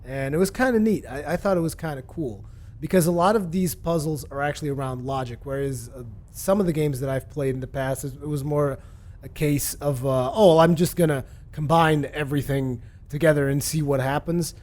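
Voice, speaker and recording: A man's voice: on the high side, a little fast. Chatty male gaming commentator. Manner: relaxed and rambling, with many fillers and restarts. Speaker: gaming video host. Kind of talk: casual game review commentary.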